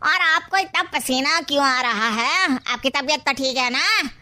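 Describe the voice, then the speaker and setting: A woman voicing a genial middle-aged bandit father in a cartoon, hearty and deliberate. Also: high voice, expressive, bright, forceful tone, heavily acted. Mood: jovial.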